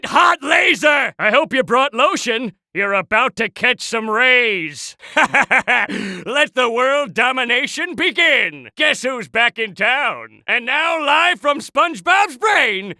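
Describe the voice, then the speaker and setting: Pompous tiny cartoon villain, sharp and forceful. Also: male voice, very high voice, animated, slow pace, no room sound, studio quality, exaggerated voice. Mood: gleeful menace. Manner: pompous, theatrical, sarcastic. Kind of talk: cartoon video game voice acting.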